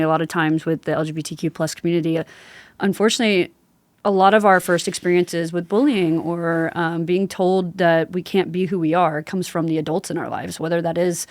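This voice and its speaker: A woman's voice: fairly low pitch, no room sound. Supportive woman interviewer, dry close sound. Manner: supportive, frequent short acknowledgements. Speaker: podcast host, interviewer. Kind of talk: casual conversational interviewing.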